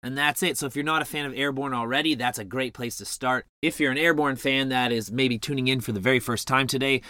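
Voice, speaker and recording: A man's voice: medium pitch, fairly even intonation, fast talker, sharp tone, dry recording, clean studio sound. A young man hosting a podcast, upbeat and thankful, sounding slightly nasal as he gets over a cold. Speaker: podcast host. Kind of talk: podcast intro and interview.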